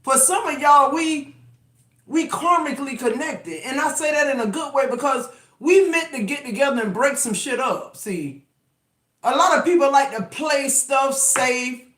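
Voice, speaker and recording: A man's voice: very high voice, expressive, normal speed, bright, forceful tone. A man in his fifties giving a casual tarot reading, talking emphatically with profanity and rambling restarts. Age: 50s. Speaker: tarot reader. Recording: echoey room.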